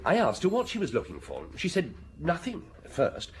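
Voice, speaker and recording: An actor playing a keen, deferential young police detective in a British radio drama. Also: male voice, fairly high pitch, wildly swooping pitch, moderate pace. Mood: eager. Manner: keen, respectful. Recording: noisy radio drama recording.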